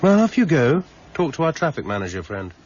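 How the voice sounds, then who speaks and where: An actor playing a weary, sardonic, impatient police superintendent with an English accent in a radio crime drama. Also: male voice, a little high, big pitch swings, brisk, darkish tone. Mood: dry, brisk. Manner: dry, sarcastic, curt. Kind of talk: 1990s British radio drama acting. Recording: dull, noisy archive radio recording.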